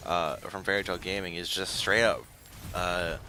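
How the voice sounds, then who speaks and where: A man's voice: low-pitched, steady tone, normal speed, bright, forceful tone, slight background noise. A man giving conversational analysis as colour commentator on a live video game match.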